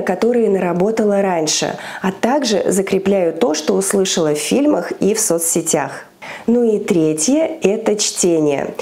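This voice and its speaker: A woman's voice: mid-range voice, mellow. A woman in her thirties, a language teacher, giving an upbeat scripted video lesson to camera in a small room on a lapel mic. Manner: upbeat, gesturing with her hands, clear list-like delivery. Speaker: Chinese language teacher and school founder.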